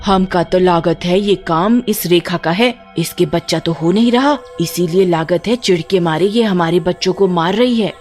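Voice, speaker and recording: A woman voicing a spiteful, sarcastic village woman who taunts and accuses in an animated story. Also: on the low side, moderate pace, hardly any pauses, faint music behind, voice pushed down, lightly acted. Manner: taunting rustic dialect, sarcastic.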